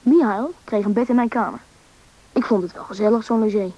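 A woman's voice: mid-range voice, a little fast, warm tone, slightly rough, natural voice. A woman playing a young child who narrates an adventure in a radio drama, in plain storytelling style. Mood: reflective storytelling. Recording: dull, muffled old radio recording.

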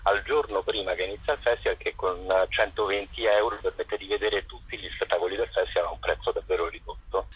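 Deep-voiced man on a muffled phone line. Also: fairly even intonation, a little fast, few pauses, slightly rough, dry recording. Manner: hesitant, many fillers. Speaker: festival director, phone guest. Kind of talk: radio phone interview.

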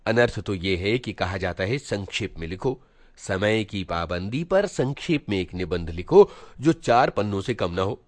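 A man's voice: lowish voice, expressive, moderate pace, no room sound, natural voice. A man voicing a preachy, self-important schoolboy older brother, lecturing pompously with rhetorical questions in a storybook monologue. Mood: exasperated.

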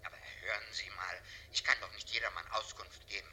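An actor playing a frightened, guarded old professor on the telephone in a German radio drama, speaking in a wary hush. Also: male voice, on the low side, fairly even intonation, brisk, a little hiss or hum. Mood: suspicious, fearful. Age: older. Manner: wary, evasive, hushed.

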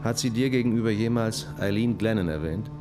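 A courteous police detective with a low voice acted by a man. He speaks in a brisk, official radio-drama manner. Music plays clearly behind him. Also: lightly acted. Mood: businesslike. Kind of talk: radio crime drama acting.

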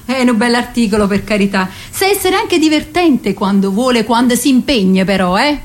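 An actress as an excitable woman in a radio comedy, with a high voice. She is playful and exclamatory, a workshop participant discovering herself. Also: normal speed, lightly acted. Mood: wry. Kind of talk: radio theatre comedy acting.

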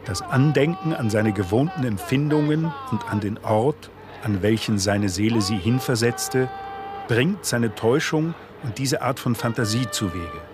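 A man giving a measured, formal literary reading of a philosophical text for a radio play. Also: medium pitch, over music.

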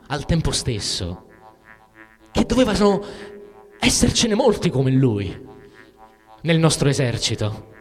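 An actor playing a frightened, cynical soldier who tells of war in a halting dramatic literary monologue, repeating words and breaking off mid-phrase. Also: male voice, high-pitched, heavily acted. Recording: music under the voice. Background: music.

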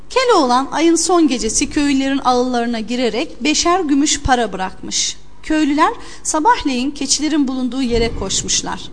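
A woman reading a scripted fairy tale for an audio storybook in an expressive, theatrical way. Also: sits high. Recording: long hall-like reverb.

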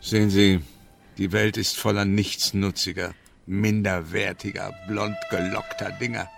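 Low-voiced, courteous, menacing older gentleman. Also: little pitch movement, a little slow, faint music behind, full character voice. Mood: fanatical, solemn. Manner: polite, formal, smoothly menacing. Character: courteous, fanatical older gentleman murderer, self-styled scientist. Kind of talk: radio drama acting.

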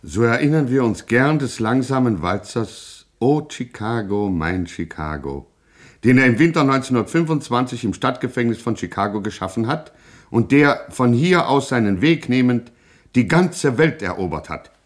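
A man's voice: mid-range voice, lively intonation, normal speed, lightly acted. Actor as pompous mock-solemn male radio narrator. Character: grandly ironic male narrator of a gangster satire. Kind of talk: scripted radio play narration.

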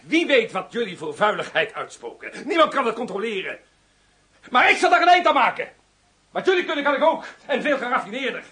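An actor playing a zealous, accusatory public prosecutor in a Dutch radio play, probing and insistent. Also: male voice, very high-pitched, big pitch swings, fairly quick, bright, forceful tone, exaggerated voice. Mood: scornful, threatening. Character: sharp, zealous public prosecutor out to topple the federal police. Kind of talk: Dutch radio drama dialogue.

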